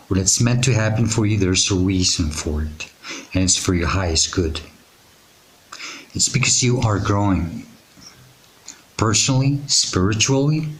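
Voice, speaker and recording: A man with a raspy, hoarse voice giving a tarot reading, speaking in a reassuring, unhurried way with many pauses and fillers. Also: lowish voice, rough recording. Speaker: tarot reader. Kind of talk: intuitive tarot reading to camera.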